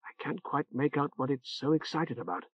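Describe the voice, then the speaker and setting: A man voicing a puzzled woman in an audiobook, speaking in a hushed, confiding whisper. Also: a little high, animated, moderate pace, slightly rough, dry recording, lo-fi sound. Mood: hushed puzzlement.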